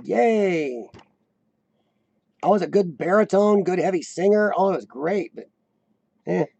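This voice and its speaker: Squeaky, gravelly-voiced man in his forties. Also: expressive, a little mellow, dull audio. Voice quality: high-pitched squeaky voice. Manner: clears throat often, halting restarts. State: voice worn from lung illness and coughing. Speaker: host of a live chat show. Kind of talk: casual live-stream chat reading viewer comments.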